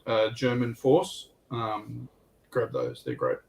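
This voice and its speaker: A man's voice: medium pitch, fairly even intonation, takes it slowly, vocal fry. A man chatting casually and informally as a panelist on a hobby livestream. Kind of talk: casual chat. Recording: distant mic with background noise.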